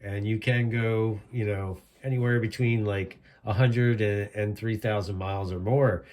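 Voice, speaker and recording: A man's voice: fairly low pitch, steady tone, a little slow, a little mellow. A man giving a casual, rambling product demonstration as an enthusiastic hobbyist reviewer.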